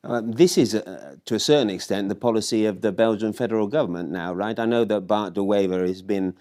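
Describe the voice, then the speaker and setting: A man's voice: on the low side, a little fast, dark tone. A man hosting a conversational political discussion, probing and paraphrasing his guests provocatively. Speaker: discussion host.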